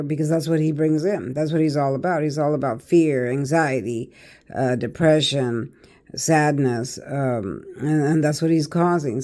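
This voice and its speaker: An older woman speaks in a raspy, hoarse voice with a mellow, dark tone. She is a tarot reader talking casually to her viewers, preachy and emphatic, and she keeps checking in with "okay" and "all right.". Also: low voice. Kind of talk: casual spiritual monologue to camera.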